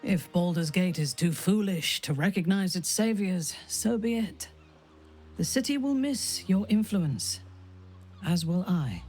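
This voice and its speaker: Fantasy game companion: proud, haughty female, lowish voice, formal and very deliberate. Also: lively intonation, darkish tone, faint music behind. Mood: proud, wistful. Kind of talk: dramatic game acting.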